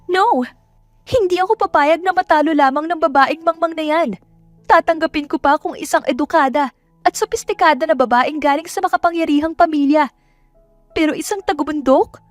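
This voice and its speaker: A woman's voice: high voice, moderate pace, no room sound, soft background music, voice pushed up, lightly acted. A woman voicing a scornful, jealous young rich woman in an audio romance drama, indignant and haughty as she spits out her words. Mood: outraged. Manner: indignant, haughty, spitting insults.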